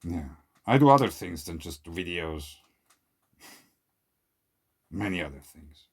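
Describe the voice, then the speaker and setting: A man whose first language is German, speaking English in an earnest, questioning monologue to camera, often trailing off quietly. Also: sits low, expressive, slow pace, slightly rough. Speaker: video creator. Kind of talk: casual monologue to camera, reading out an email.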